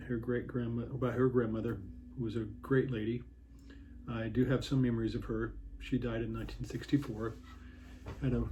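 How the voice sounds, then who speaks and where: A man in his sixties from Washington State, recalling his childhood in a rambling way with many pauses and restarts. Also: mid-range voice, darkish tone, slight background noise, poor audio. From Washington State, USA. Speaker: interviewee, hiker. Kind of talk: casual reminiscing interview.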